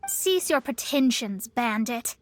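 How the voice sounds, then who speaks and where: A woman's voice: a little high, expressive, takes it slowly. An actress playing a proud young female astrologer in a video game, speaking in grand, formal phrases. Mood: cold, dismissive. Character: haughty young female astrologer, theatrical self-styled master. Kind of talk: video game character acting.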